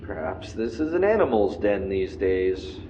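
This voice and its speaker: An actor playing a podcaster lost in the wilderness in an audio drama, narrating haltingly to his recorder as he grows frightened and paranoid. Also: lowish voice, big pitch swings, warm tone, lo-fi sound, slight background noise. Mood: uneasy curiosity. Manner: halting self-narration, swearing. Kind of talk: found-tape audio drama monologue.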